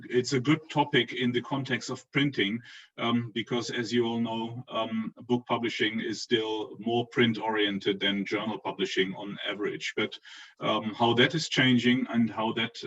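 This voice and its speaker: A man's voice: lowish voice, fairly bright tone, distant mic. German-accented man on a muffled call. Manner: hesitant, many fillers. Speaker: panelist. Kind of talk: remote panel presentation. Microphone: video call.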